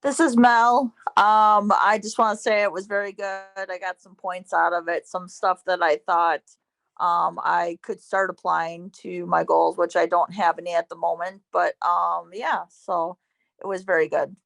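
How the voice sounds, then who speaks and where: A woman's voice: lowish voice, level tone, a little slow, creaky, fairly bright tone, no room sound. A woman giving brief hesitant feedback on a video call. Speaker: meeting participant.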